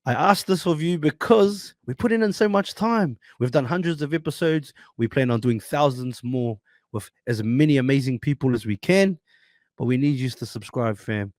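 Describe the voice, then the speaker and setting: A man's voice: medium pitch, expressive, moderate pace, darkish tone, no room sound. A man with a New Zealand accent hosting a podcast, upbeat and friendly, calling his guest "brother". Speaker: podcast host. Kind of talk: casual interview chat.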